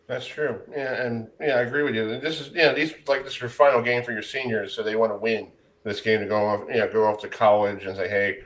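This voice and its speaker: Distant-miked American sports show host. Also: male voice, lowish voice, steady tone, fast, a bit bright. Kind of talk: casual sports chat. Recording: distant from the mic.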